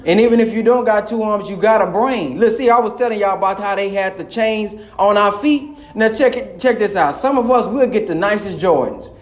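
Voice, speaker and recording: A man's voice: high voice, fairly quick, hardly any pauses. A man preaching to a live audience in an impassioned, colloquial way. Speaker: minister. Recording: echoey hall.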